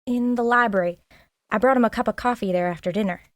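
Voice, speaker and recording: A woman's voice: on the high side, quick pace, dry recording, studio quality, lightly acted. An actress playing an eager, chatty sidekick to a woman sleuth, earnest and inquisitive, in an audio drama. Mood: earnest, confiding. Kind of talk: audio drama acting, dialogue.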